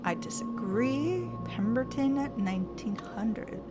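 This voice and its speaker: A woman's voice: a little high, slow pace, music in the background. Woman thinking aloud while gaming. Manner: thinks aloud, reads game text aloud. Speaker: streamer playing a game. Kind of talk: casual gameplay commentary.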